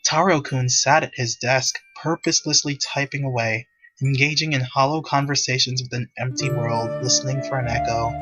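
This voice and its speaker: A narrator reads a visual novel script in a mid-pitched voice. Music plays clearly behind the scripted storytelling. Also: steady tone, moderate pace, hardly any pauses, fairly bright tone, poor audio. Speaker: narrator and reader of all parts. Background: background music.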